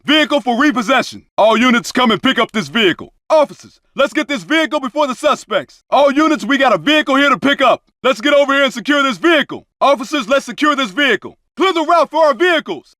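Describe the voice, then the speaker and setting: High-pitched, commanding undercover cop. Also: male voice, lively intonation, quick pace, few pauses, bright, forceful tone, dry recording, clean studio sound, exaggerated voice. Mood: commanding, urgent. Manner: urgent, clipped, barking orders. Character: tough, commanding undercover police officer in action. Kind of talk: video game combat callouts.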